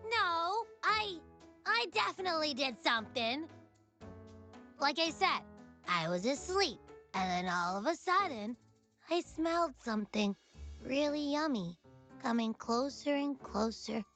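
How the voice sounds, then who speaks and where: A woman voicing a boastful, always-hungry childlike little creature companion in a video game, cocky, excitable and whiny. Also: high voice, unhurried, faint music behind, exaggerated voice. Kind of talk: animated video game voice acting.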